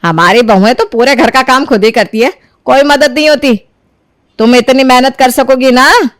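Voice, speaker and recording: A woman's voice: high voice, moderate pace, fairly bright tone. A woman voicing a strict, exacting middle-aged mother-in-law who questions sharply in a cartoon story. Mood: stern. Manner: interrogating, strict. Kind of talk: Hindi cartoon moral story dialogue.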